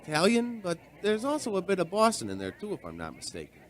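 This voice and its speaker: An actor playing a chatty, folksy bar owner, rambling conversationally through a radio drama monologue. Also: male voice, high-pitched, wildly swooping pitch, fast talker, fairly bright tone, exaggerated voice. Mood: reflective, curious.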